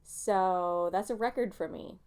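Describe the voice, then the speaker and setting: Chatty sniffly woman, smooth voice. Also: medium pitch, unhurried, a little mellow. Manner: chatty, self-mocking, goes off on tangents. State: slightly nasal and sniffly from allergies. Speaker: booktuber. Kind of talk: casual rambling book vlog.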